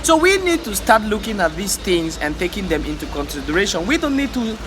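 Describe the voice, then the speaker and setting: A man's voice: sits high, sing-song, brisk, noisy background. A man from Africa giving an impassioned motivational vlog monologue, repeating himself and stumbling over words when heated. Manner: fervent, repetitive, exhorting, stammers when heated. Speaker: vlogger.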